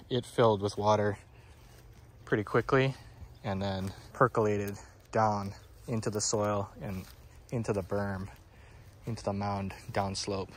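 A man giving a relaxed walking tour of his garden outdoors, with lots of "um, you know," filmed on a handheld phone. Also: on the low side, slight background noise. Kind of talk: casual walking tour explanation. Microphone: phone camera held by the speaker.

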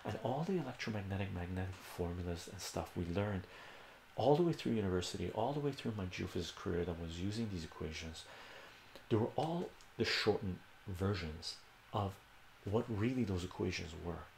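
A man's voice: deep voice, animated, normal speed, gravelly, darkish tone, echoey room, a little hiss or hum. An older man whose first language is Armenian, hosting a casual livestream from a home room, rambling and correcting himself as he answers viewers' questions. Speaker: livestream host.

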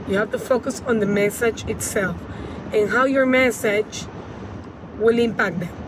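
A woman in her thirties with a Spanish accent gives casual advice to the camera as a coach, repeating phrases for emphasis. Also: a little high. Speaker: women's empowerment coach giving tips. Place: inside a car. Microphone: phone at arm's length. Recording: loud car and road noise.

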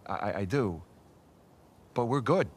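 A driven, insistent treasure hunter. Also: male voice, medium pitch, expressive, a little slow, husky. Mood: insistent, excited. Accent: American. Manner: urgent, insistent, stammering when pushed. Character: driven, stubborn male treasure hunter, persuasive and restless. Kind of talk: video game cutscene acting. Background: music.

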